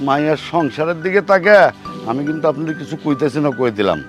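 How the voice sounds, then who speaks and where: A man's voice: on the high side, expressive, fast, music in the background, natural voice. A man playing an older, humble and indignant village father in a TV drama, speaking earnestly with a rural Bangladeshi accent. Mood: hurt, indignant. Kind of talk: melodramatic Bangla TV drama acting.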